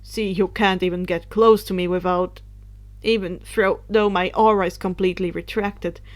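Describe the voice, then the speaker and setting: A woman narrator plays a teenage boy turned into a dark spirit in an audiobook story. He sounds awkward and wry, with a huffy edge, and sits lower than the reader's own voice. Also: steady tone, normal speed, natural voice. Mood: huffy, resigned. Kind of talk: audiobook character voice.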